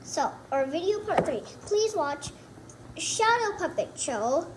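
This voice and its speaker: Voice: very high-pitched, sing-song, slow pace. A child chatting casually to viewers as co-host of a homemade puppet show video. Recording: noisy homemade recording.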